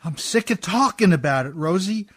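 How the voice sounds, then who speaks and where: An actor playing a rough, warm-hearted, weary riverboat skipper with folksy, ungrammatical speech in a radio play. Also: male voice, high-pitched, animated, moderate pace, no room sound. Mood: weary, frustrated.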